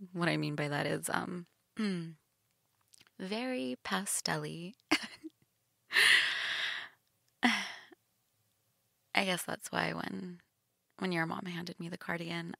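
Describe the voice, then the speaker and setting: A woman's voice: fairly low pitch, vocal fry, fairly bright tone, dry recording, studio quality, heavily acted. A young woman playing a shy, teasing goth stepsister in an intimate audio role-play, casual, hesitant and giggly. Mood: wistful, hesitant.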